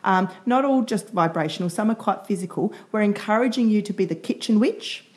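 Hoarse woman hosting a chat show. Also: mid-range voice, brisk, a little mellow. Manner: chatty, laughs often. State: hoarse, losing her voice. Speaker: podcast co-host. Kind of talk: casual chat.

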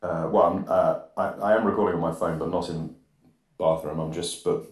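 A man hosting an absurdist comedy podcast, rambling deadpan monologue recorded on a phone. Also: low voice, moderate pace. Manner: deadpan, rambling, drops articles. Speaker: podcast host. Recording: phone recording with reverb plugin, bathroom echo.

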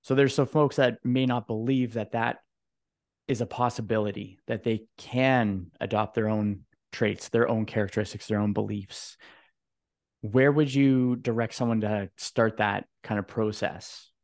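A man hosting a conversational podcast interview, phrasing his questions in a halting, thoughtful way. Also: fairly low pitch, fairly even intonation, dry recording, clean studio sound.

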